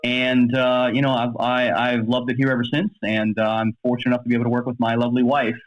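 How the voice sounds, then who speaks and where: A man's voice: medium pitch, level tone, fast talker, no echo, highs cut off, faint music behind. A middle-aged American man from Maryland, an attorney giving rambling, conversational interview answers. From Maryland, United States. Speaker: podcast guest, attorney.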